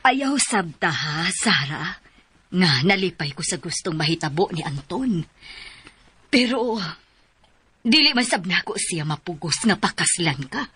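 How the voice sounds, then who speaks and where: A woman's voice: on the low side, big pitch swings, unhurried, sharp tone, husky, exaggerated voice. An actress playing a caring, troubled and resigned middle-aged mother in a melodramatic radio drama. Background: faint music.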